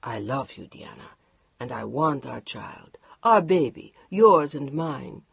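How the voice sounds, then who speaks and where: A woman doing a quiet, steely French husband. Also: lively intonation, measured pace, creaky, husky, no room sound, lo-fi sound, heavily acted. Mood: firm. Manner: low, firm, unbending.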